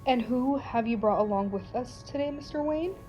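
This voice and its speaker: A woman's voice: fairly high pitch, moderate pace, dark tone, muffled recording, faint music behind, natural voice. A woman playing a stern, formal courtroom judge in an amateur radio play. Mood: stern, businesslike.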